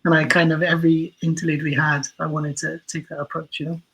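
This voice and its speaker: A man's voice: on the high side, little pitch movement, quick pace, crowd noise, poor audio. A man being interviewed as an audio drama writer, talking reflectively and a little hesitantly as he thinks aloud.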